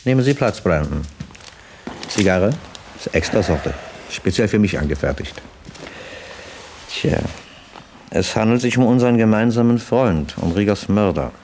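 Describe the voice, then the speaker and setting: Mob boss, very low voice, polite n calculating, radio crime drama. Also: male voice, expressive, background noise. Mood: suave, persuasive. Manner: courteous, deliberate, businesslike. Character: smooth, calculating male gangster syndicate boss.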